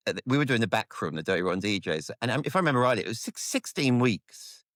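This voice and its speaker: A man's voice: medium pitch, sing-song, fast talker, fairly bright tone, no echo, studio quality. A man, hosting a podcast in a friendly, jokey, self-deprecating way. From England. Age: 40s. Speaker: podcast host. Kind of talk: casual chat.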